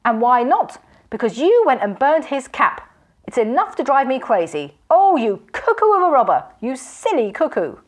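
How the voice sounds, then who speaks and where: Woman shouting as a raging pompous magician. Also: on the high side, big pitch swings, measured pace, heavily acted. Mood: scornful. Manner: shouting, ranting, mocking.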